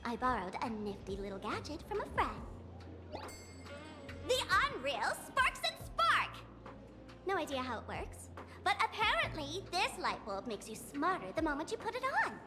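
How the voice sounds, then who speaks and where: A cocky young female trickster from a video game. Her very high voice swoops in teasing, sing-song lines, ringing in long reverb with music behind. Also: wildly swooping pitch, moderate pace. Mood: smug, playful. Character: playful, cocky, mischievous young woman trickster. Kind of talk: video game character acting.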